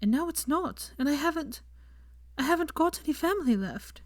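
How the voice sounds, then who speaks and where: Woman reader as distraught young witch for fan-fiction audiobook: raised pitch, cracking voice, halting, pleading, guilt-ridden. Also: expressive, dry recording, clean studio sound. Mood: grieving. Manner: pleading, halting, breaking off sentences.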